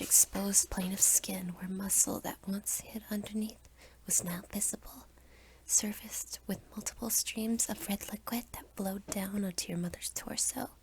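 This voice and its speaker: A young adult woman reading a scripted second-person story as ASMR in a soft, whispery voice. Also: mid-range voice, a little hiss or hum, rough recording. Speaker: roleplay narrator.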